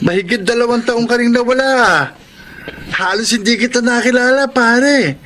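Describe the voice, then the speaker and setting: An actor with a Filipino accent playing a mocking, unreformed drug addict in a radio drama, with a laughing drawl. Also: male voice, very high voice, moderate pace, bright, forceful tone, faint music behind. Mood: teasing surprise. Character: jeering unreformed male drug addict, old street buddy.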